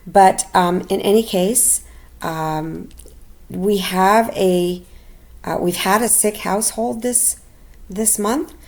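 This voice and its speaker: A middle-aged woman narrating a personal finance video as a voiceover, slightly raspy from illness, halting with many ums and restarts. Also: mid-range voice, a little mellow. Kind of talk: casual voiceover narration over a screen recording. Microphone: home voiceover mic.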